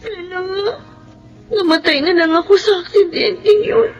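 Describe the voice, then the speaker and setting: An actress playing a bitter, despairing young daughter in a Filipino radio drama, with a tearful delivery. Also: female voice, very high-pitched, measured pace, highs cut off, faint music behind. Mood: anguished, tearful. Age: young adult. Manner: tearful, anguished.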